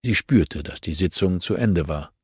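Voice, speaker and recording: Low-voiced man narrating a crime audiobook. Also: animated, normal speed, a little mellow, breathy edge, no room sound, clean studio sound. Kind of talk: scripted narration of a crime novel.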